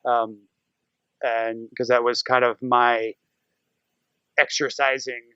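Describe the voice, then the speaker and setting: A man's voice: mid-range voice, level tone, slow pace, sharp tone, clean tone, no room sound, highs cut off. An American man chatting casually as a podcast guest, rambling and acting out his own thoughts as he goes. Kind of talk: casual podcast interview chat.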